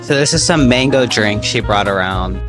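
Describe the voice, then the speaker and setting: A man's voice: on the low side, expressive, moderate pace, over music. Chatty young man vlogging outdoors. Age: young adult. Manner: chatty, joking, talks straight to the camera. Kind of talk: casual travel vlog. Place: outdoors on city streets, in a rickshaw and a small shop. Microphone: small clip-on mic with a wind muffler.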